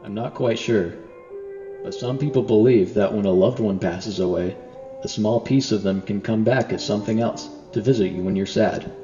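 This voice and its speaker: A man voicing a gentle, reassuring bird friend in an eerie cartoon, speaking softly and comfortingly. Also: fairly low pitch, normal speed, close to an everyday voice. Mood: gently reassuring. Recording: muffled, roomy cartoon audio, faint music.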